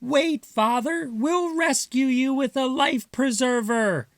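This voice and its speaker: A man voicing excited little mouse children in a storybook, with eager, exclaiming calls. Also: no echo, heavily acted. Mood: eager, urgent. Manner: high, exclaiming, drawn-out calls. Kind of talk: storybook read-aloud character voice.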